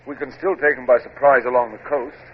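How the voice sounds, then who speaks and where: An actor playing a dutiful naval lieutenant in a radio drama, speaking in a brisk, formal, deferential way. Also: male voice, medium pitch, steady tone, close to an everyday voice. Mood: dutiful, alert. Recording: muffled, noisy vintage radio recording.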